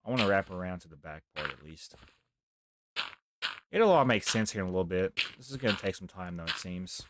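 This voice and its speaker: A young man with a deep voice, rambling softly through casual live gameplay commentary as a streamer. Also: big pitch swings, brisk, heavy background noise. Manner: rambling, talks softly, near whispering.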